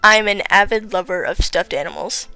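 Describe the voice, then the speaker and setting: Tired raspy teenage girl. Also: medium pitch, moderate pace, bright, forceful tone, no echo, faint music behind, low-quality recording. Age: teens. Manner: rambling, filler-heavy, chatty. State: tired, late at night. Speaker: gaming vlogger. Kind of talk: casual game commentary.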